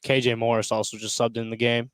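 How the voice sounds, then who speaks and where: A man's voice: medium pitch, completely flat delivery, fast, smooth. A man giving live basketball color commentary in short, informal reactions.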